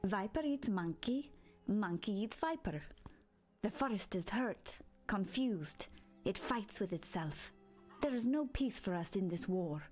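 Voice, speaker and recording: Lady doing a solemn cryptic forest tribeswoman for a game, mid pitch, soft music behind. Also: lively intonation, a little slow, natural voice. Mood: solemn, troubled. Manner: short, simple, proverb-like sentences. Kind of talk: video game character acting.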